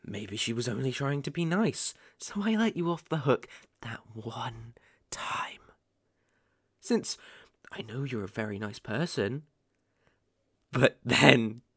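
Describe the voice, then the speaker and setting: Man acting slow, creaky-voiced possessive yandere boyfriend. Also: medium pitch, fairly bright tone, dry recording, studio quality, heavily acted. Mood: simmering jealousy. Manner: theatrical, slow, coaxing with sudden intense swells. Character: possessive yandere boyfriend, menacing yet sugary, unhinged. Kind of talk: ASMR roleplay acting. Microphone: close studio microphone.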